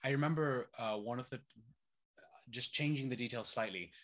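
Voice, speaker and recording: A man's voice: medium pitch, brisk. A man who grew up between Saudi Arabia and East London, talking animatedly as a guest, firing off rhetorical questions. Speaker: activist guest. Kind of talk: conversational interview.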